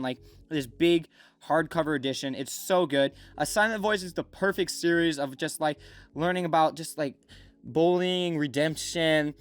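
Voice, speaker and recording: A young man hosting a casual vlog, talking with enthusiasm and plenty of hyperbole. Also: fairly high pitch. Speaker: YouTube host. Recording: music playing behind the voice. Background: background music.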